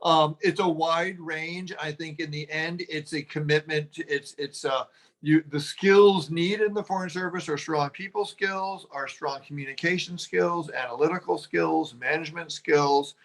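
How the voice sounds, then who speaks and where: A man speaking on a webinar panel about careers in diplomacy, halting and often restarting his sentences. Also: fairly high pitch, steady tone, a bit bright.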